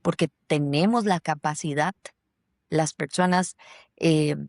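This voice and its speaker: A woman's voice: low-pitched, takes it slowly, darkish tone, dry recording, clean studio sound. A woman hosting a podcast, talking in a reflective way with many fillers and restarts. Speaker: podcast host. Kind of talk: conversational podcast talk. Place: lives in Germany.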